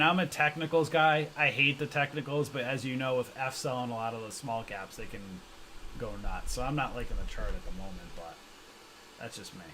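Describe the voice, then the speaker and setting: A man's voice: medium pitch, pushed and bright, a little hiss or hum, rough recording. A man hosting a stock trading livestream in casual, joking chat, reading out viewer comments and reacting loosely with slang.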